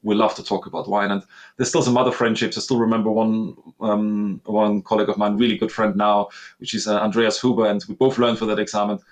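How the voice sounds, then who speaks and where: A man in his thirties with an Austrian accent speaks as an interview guest in a somewhat low voice. He tells his story in an earnest, reflective way. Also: little pitch movement, fast talker. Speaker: guest, master sommelier. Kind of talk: conversational interview.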